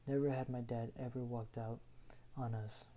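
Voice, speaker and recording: A young man from Houston, Texas, reading his own written personal story aloud in an earnest, measured way. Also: medium pitch, level tone, mellow. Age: young adult.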